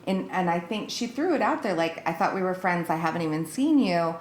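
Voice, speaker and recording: A woman's voice: lowish voice, animated, fairly quick. A woman in her thirties, a podcast guest, sharing personal stories in an informal, conversational way. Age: 30s. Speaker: podcast guest, hair stylist. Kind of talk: casual chat, personal anecdotes. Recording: echoey room, clear background noise.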